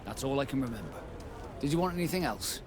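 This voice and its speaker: An actor playing a reserved, plain-spoken seafarer in a fantasy game, with a terse, reflective manner. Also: male voice, medium pitch, animated, fast, lightly acted. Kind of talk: fantasy video game acting. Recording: noisy outdoor urban ambience.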